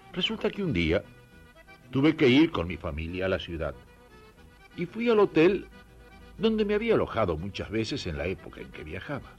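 A man's voice: medium pitch, dull audio. A man from Belgium narrating a personal testimony. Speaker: rural worker giving testimony.

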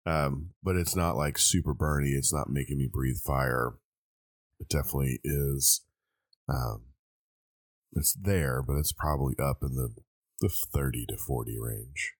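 A congested man hosting a casual blind liquor tasting, thinking aloud with many pauses. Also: a bass voice, dry recording.

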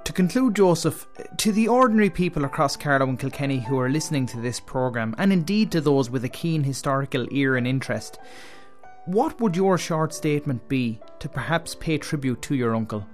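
An Irish man hosting a radio programme, reading scripted links in a formal, deliberate manner. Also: fairly high pitch, lively intonation, faint music behind. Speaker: radio show host.

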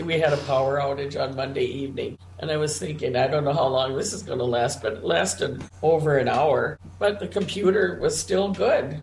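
Woman caller rambling and restarting, gravelly creak, echoey room w/ faint noise. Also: low voice, darkish tone. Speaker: caller to a computer club meeting. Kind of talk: casual chat. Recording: roomy echo, faint background noise.

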